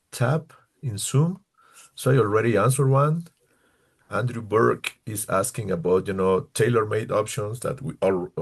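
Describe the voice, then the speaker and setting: A man from Ecuador with an Ecuadorian Spanish accent, giving an informal online slide talk in halting non-native English as a tour leader. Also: lowish voice, vocal fry, breathy edge. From far south Ecuador. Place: indoors on a video call.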